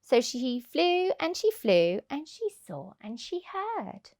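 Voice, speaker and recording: A woman reads a children's story aloud. Her voice is high, with very lively intonation, and she takes each line slowly for young listeners. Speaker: childminder reading stories to children.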